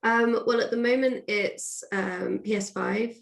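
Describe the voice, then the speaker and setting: A woman's voice: mid-range voice, steady tone, a little slow, creaky. A woman speaking as a podcast guest in a casual, chatty, hedging way, recorded in an echoey room. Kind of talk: casual chat.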